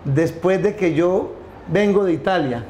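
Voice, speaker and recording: A middle-aged man tells a long story as a guest, stumbling and restarting often. His voice sits a little high. The room gives it a noticeable echo, with faint noise underneath. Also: a little slow, darkish tone. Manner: rambling, many restarts and repeated words. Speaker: company founder, interview guest. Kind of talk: casual storytelling interview. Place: room with wooden wolf statue, indoors.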